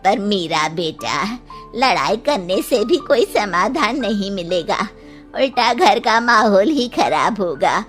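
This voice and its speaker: A kindly, calming elderly mother-in-law from a cartoon , played by a woman. She advises gently at a middling pitch, with soft music behind. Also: slow pace. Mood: soothing, supportive.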